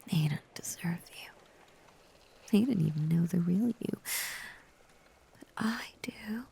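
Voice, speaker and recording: A woman playing a possessive, obsessive female werewolf in an intimate audio roleplay, half-whispering with slow, seductive menace. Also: fairly low pitch, lively intonation, vocal fry, warm tone, husky, exaggerated voice. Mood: intense, possessive. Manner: slow, lingering, seductive delivery.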